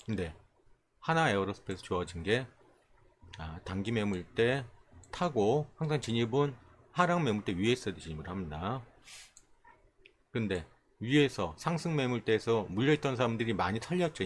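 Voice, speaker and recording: A man with a fairly low voice explains things informally, like an instructor walking through a screen. His intonation swings widely, and he often checks in with short questions. There is clear background noise in the recording. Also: big pitch swings, normal speed. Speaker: stock trading instructor. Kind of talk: informal screen-share tutorial explaining stock charts.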